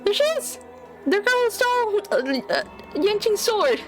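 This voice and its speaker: A woman streamer chatting casually and excitably as she reacts to a game. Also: very high voice, unhurried, music in the background.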